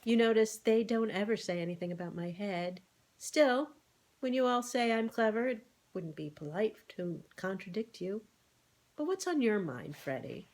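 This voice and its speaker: A woman's voice: mid-range voice, animated, normal speed, a little mellow, natural voice. A woman voicing a comfortable, motherly, plainspoken cow with a good heart in an animal storybook. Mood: good-humored.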